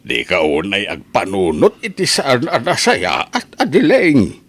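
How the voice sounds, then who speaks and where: A man playing a patient, devout old father in a family radio drama, reassuring in a slow, measured way. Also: medium pitch, wildly swooping pitch, gravelly, a bit bright, slightly rough, dry recording, full character voice.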